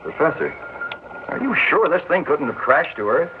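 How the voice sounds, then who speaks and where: An actor playing a commanding army general who barks orders in an old radio drama. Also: male voice, a little high, very animated, normal speed. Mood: alarmed. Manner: barking orders, brusque. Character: commanding male army general, gruff and blustering. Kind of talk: 1960s radio drama acting. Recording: tinny old radio broadcast with hiss.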